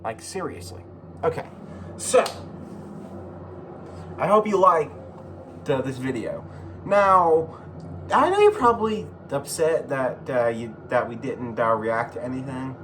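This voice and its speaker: A man giving casual, rambling video commentary as a host, halting and repeating himself, with informal, sweary phrasing. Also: mid-range voice, sing-song, a bit bright, slight background noise. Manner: profane, informal. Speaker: YouTube host.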